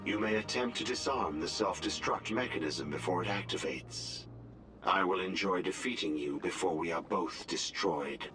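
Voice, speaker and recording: A man voicing a rogue artificial intelligence in a video game, cold, formal, measured and defiant. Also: deep voice, sharp tone, soft background music. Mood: defiant, menacing.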